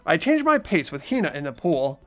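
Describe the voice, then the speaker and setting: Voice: mid-range voice, big pitch swings, fairly quick, a bit bright, faint music behind. A performer voicing a mild, earnest teenage schoolboy who narrates and chats in a fan-voiced visual novel game. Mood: reflective.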